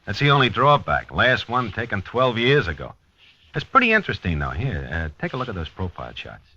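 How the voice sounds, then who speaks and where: A dogged, world-weary American police lieutenant in a 1950s radio play. He is clipped and hard-boiled, low and very sharp, with lively intonation. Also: a little fast, no echo, exaggerated voice. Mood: keen, wry. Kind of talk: 1950s radio drama acting and first-person narration. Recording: dull vintage 1950s radio recording.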